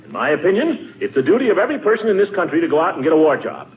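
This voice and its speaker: An actor playing a pompous, blustering middle-aged uncle who sputters and gets flustered, in 1940s radio comedy style. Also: male voice, a little high, huge pitch swings, rapid-fire. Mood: indignant blustering. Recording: noisy, tinny 1940s radio broadcast.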